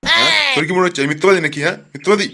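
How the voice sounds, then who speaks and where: A man voicing a gruff, foul-mouthed police officer in a comic cartoon, bossy and blunt. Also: sits high, sing-song, racing, sharp tone, full character voice. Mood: threatening, irritated.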